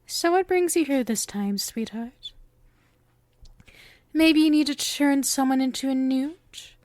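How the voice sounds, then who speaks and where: A sweet, possessive young witch played by a woman speaks in a high, unhurried voice, very close and dry. She is coy and teasing, doting on her listener in an intimate, theatrical ASMR roleplay style. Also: darkish tone, no room sound. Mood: playful, businesslike.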